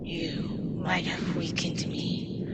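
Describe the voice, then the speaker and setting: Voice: mid-range voice, little pitch movement, takes it slowly, close to an everyday voice. A performer voicing a vicious, taunting female demonic pony killer in a fan fiction audio drama, drawing out each threat with menace. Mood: menacing, taunting. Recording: distant mic with loud background noise. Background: loud noise.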